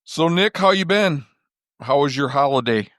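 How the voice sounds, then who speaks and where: A man's voice: on the high side, lively intonation, normal speed, fairly bright tone, no echo. A man hosting a casual podcast, thinking aloud with dry self-deprecating humor. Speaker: podcast host.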